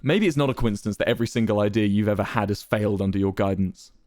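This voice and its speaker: A man's voice: on the low side, fast, a little mellow, no room sound, studio quality, lightly acted. A man voicing a proud, unstable disgraced man in a story, with a stammering, agitated delivery. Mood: mocking, furious.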